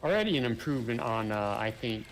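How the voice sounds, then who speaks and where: Male gamer, easygoing commentary with careful qualifiers, mid pitch, noisy mic. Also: expressive, quick pace. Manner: thoughtful, explains points with careful qualifiers. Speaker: co-op gaming partner. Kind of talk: casual gameplay chat. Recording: clear background noise.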